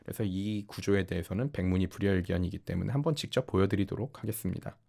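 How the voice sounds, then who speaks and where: A man narrating a software tutorial as an instructor, explaining step by step in polite, formal speech. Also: deep voice, quick pace, vocal fry. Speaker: programming instructor. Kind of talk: tutorial narration over screen demonstration.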